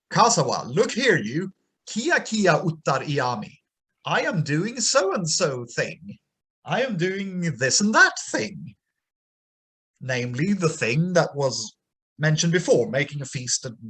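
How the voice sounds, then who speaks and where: A man reading and explaining an ancient text as an informal lecture, pausing and thinking aloud as he goes. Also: a little high, a bit bright. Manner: hesitant, thinking aloud with pauses and repeats.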